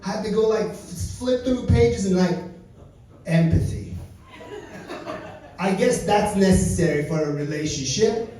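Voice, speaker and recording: A man's voice: sits high, unhurried, pauses often, warm tone, recorded from a distance. A man, doing a stand-up set with dry, wry storytelling. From Turkey. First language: Turkish. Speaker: stand-up comedian. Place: comedy lounge stage. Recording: echoey lounge, mic from afar.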